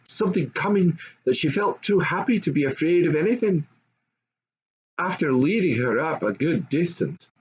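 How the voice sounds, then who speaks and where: An older man reads a classic fairy tale aloud in an expressive, measured, grandfatherly storytelling style. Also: a little high, dull audio, a little hiss or hum. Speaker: storybook reader.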